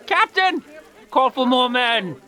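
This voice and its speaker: Cowardly tyrant lord, pompous, very slow delivery, bright forceful voice, fantasy audio drama. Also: male voice, very high voice, big pitch swings, full character voice. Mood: furious. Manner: pompous, stammering when flustered. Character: pompous cruel usurping lord, cowardly and flustered.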